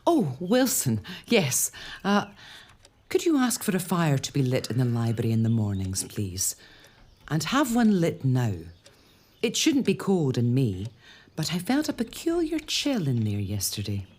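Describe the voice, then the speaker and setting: A woman's voice: a bass voice, huge pitch swings, measured pace, a little mellow, exaggerated voice. A woman playing a young pregnant noblewoman in a period audio drama, earnest and wry. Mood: gracious.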